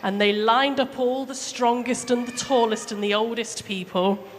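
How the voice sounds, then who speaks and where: Higher-voiced woman storyteller, echoing hall. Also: fairly even intonation, normal speed. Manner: chatty, asks listeners questions, addresses children simply. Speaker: children's church storyteller. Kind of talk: interactive storytelling to children. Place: church hall during a service. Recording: echoey hall with background noise.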